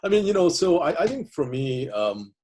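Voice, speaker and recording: A man's voice: a little high, very animated, normal speed, warm tone, slightly rough. A middle-aged man whose first language is Korean, answering interview questions reflectively, with many fillers and restarts. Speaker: interviewee, divinity school professor.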